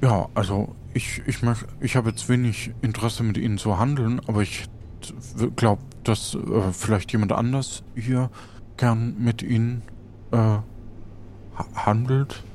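A man's voice: medium pitch, fairly even intonation, a little slow, darkish tone. A man improvising in a roleplay audio adventure, speaking hesitantly and stammering as he repeats his words. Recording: faint background noise.

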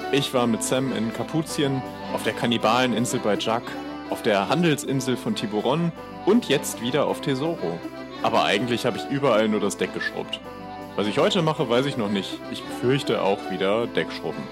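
A man's voice: medium pitch, lively intonation, moderate pace, music in the background, lightly acted. A man playing a naive, easygoing pirate deckhand in an improvised audio adventure, talking in a hesitant, rambling slacker way. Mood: weary, resigned. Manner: hesitant, rambling, laid-back slacker talk.

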